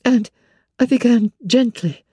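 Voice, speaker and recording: A woman narrating classic literature in a measured, expressive storytelling manner. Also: on the high side, slightly rough, no room sound, clean studio sound.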